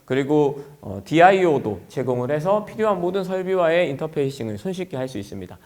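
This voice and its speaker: A man delivers a product presentation in the manner of a company executive at a forum. His voice sits fairly high for a man and moves with very lively intonation. He explains things clearly, point by point, for a business audience.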